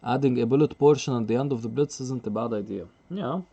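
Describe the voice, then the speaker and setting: A man's voice: medium pitch, fairly quick, vocal fry, mellow, lo-fi sound. A man streaming live chess commentary in a casual way, chuckling often and answering his chat.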